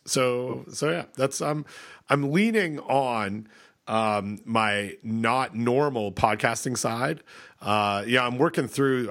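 A man's voice: lowish voice, fairly bright tone. A man in his thirties co-hosting a podcast, stuffed up from a cold, rambling with lots of restarts. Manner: rambling, hesitant, many restarts. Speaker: podcast co-host. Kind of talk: casual chat.

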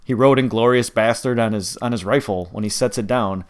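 A man with a slight Wisconsin accent, co-hosting a podcast in casual chat with many restarts. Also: lowish voice. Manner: conversational, halting with restarts. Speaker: podcast co-host.

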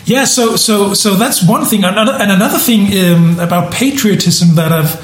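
A man from Denmark with a notably high voice, chatting casually and stumbling through frequent restarts and repeated words. His voice bounces around a roomy, echoing space. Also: normal speed. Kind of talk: casual podcast conversation. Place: room in southern Germany.

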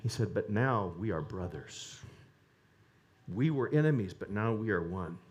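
Lively man preaching. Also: fairly low pitch, unhurried, husky. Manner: animated, rising to emphatic peaks. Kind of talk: sermon.

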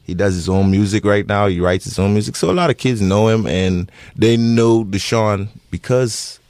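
A man in his thirties with a Bahamian accent, answering interview questions earnestly with many pauses and restarts. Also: lowish voice, a little mellow, dry recording, studio quality. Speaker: interviewee, parent.

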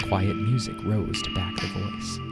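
Slow, low-voiced male story narrator. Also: steady tone, vocal fry. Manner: deliberate, dramatic phrasing. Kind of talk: dramatic scripted story narration. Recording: prominent music behind the narration.

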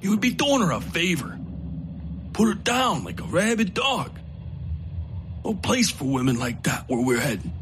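A man voicing a gut-shot, feverish, bigoted man who talks in coarse folksy grammar, in a dark audio story. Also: sits high, very animated, normal speed, long pauses, noisy background, voice pushed up. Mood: menacing.

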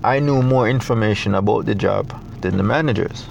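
A young adult man from Jamaica with a Jamaican accent, talking in a reflective, halting way with many fillers as a guest in a casual interview. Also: lowish voice, creaky, slight background noise.

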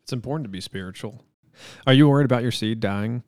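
A man's voice: mid-range voice, fast talker, a little mellow, no room sound, studio quality. A man in his thirties from the Cincinnati area hosting a podcast, joking and teasing in casual chat. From Cincinnati area, USA. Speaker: podcast host.

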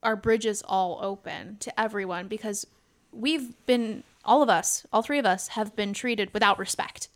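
A woman's voice: mid-range voice, a little slow. A woman talking casually and earnestly on a podcast as part of a theater company, making her points with emphasis. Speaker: theater company member and stage manager.

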